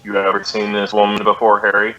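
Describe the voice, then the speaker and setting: A man's voice: lowish voice, monotone, a little fast, sharp tone, muffled recording, soft background music. An actor playing a patient male police detective, clipped and matter-of-fact, in old-time radio police drama style. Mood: patient, probing.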